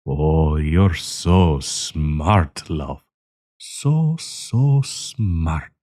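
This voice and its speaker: A man's voice: extremely low pitch, expressive, warm tone, full character voice. A man playing a kindly old professor with a hidden possessive menace, courtly and teasing in an intimate audio roleplay. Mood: sly, menacing. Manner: courtly, teasing, chuckling, deliberate.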